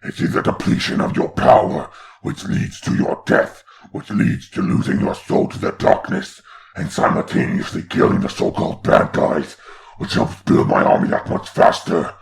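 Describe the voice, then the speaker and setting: A man voicing a grandiose, taunting demon lord in a comic dub, with a gravelly, theatrical delivery. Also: low voice, sing-song, moderate pace, a little hiss or hum, exaggerated voice. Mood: gloating, lecturing. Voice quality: gravelly, creaky. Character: grandiose demon lord, menacing mocking father of hellspawn.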